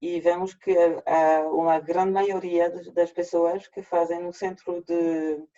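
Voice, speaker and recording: A man with a French accent, native French speaker, presenting an online workshop in a halting way with frequent restarts, over a video call. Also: a little high, level tone, dark tone. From France. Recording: dull lo-fi video call audio.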